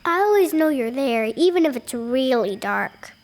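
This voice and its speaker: A woman voicing a curious, cheeky little young ape in an animated storybook, with a blunt, childlike manner. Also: sits high, normal speed.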